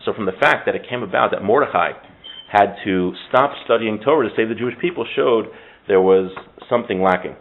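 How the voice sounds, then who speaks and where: A man's voice: fairly low pitch, a little fast, narrow, tinny audio, slight background noise. A man teaching an informal religious class, making his points with emphatic repetition and rhetorical questions to his students.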